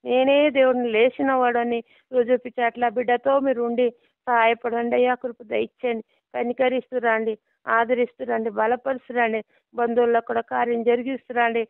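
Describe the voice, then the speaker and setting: A woman's voice: fairly high pitch, flat delivery, normal speed, creaky, no echo. A middle-aged woman, a pastor praying and giving counsel over the phone. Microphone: phone line. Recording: muffled lo-fi phone call.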